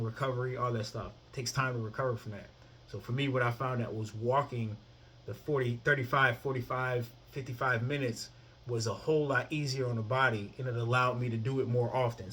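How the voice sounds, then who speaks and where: A man's voice: mid-range voice, fairly bright tone, slight background noise. A man in his thirties talking casually to the camera about his own fitness routine, often asking "you know what I mean?". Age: 30s. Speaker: fitness vlogger.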